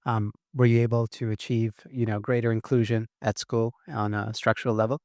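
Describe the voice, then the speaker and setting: A man's voice: lowish voice, little pitch movement, fairly quick, no room sound, studio quality. Encouraging male panel moderator. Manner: encouraging, praises answers.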